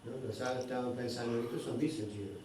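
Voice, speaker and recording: A man with a soft, mellow tone teaches a scripture class, often pausing to hunt for a word and tagging his sentences with a questioning check. His voice rings through a very echoey hall. He sounds a little distant from the microphone, over a faint background hiss. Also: medium pitch, fairly even intonation. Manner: searching for words, constant "¿no?" tags. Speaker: spiritual teacher. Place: indoor temple hall.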